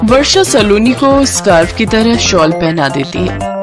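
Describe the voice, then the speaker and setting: Female storyteller for scripted moral tales, medium pitch, clear music bed underneath. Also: expressive, unhurried. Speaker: story narrator. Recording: voice over prominent music.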